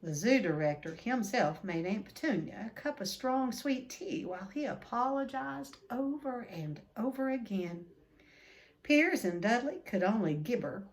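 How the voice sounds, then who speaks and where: Slow-paced woman reading a children's story. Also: a little high, expressive. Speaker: read-aloud storyteller. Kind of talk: expressive children's book reading.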